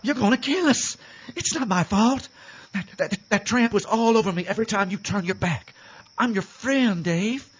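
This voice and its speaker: An actor playing a sleazy, cowardly backwoods seducer with a Southern US accent in a campy radio parody. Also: male voice, high-pitched, moderate pace, slight background noise, natural voice. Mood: panicked. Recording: dull lo-fi, music underneath.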